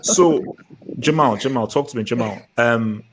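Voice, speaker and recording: A man's voice: medium pitch, unhurried, a little hiss or hum, poor audio. A man hosting a casual online football hangout, loose and repetitive, steering the others along. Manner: loose, repeats words, herds the others. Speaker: host. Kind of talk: casual livestream hangout chat.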